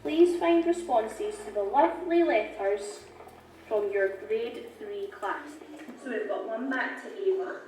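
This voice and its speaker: A woman teacher reading letters aloud to a class slowly and carefully. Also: a little high, animated, darkish tone, soft background music. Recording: echoey classroom from far off.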